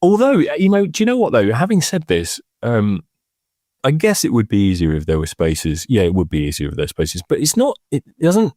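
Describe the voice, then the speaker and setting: A man's voice: medium pitch, wildly swooping pitch, a little fast, warm tone, dry recording, clean studio sound. A man casually explaining things as an expert podcast presenter, hesitant and often circling back to correct himself.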